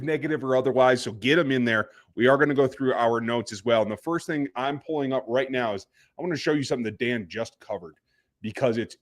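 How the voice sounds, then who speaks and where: A man's voice: medium pitch, fairly bright tone. A man hosting a live post-game sports reaction show, with a fired-up, ranting delivery. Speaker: podcast host.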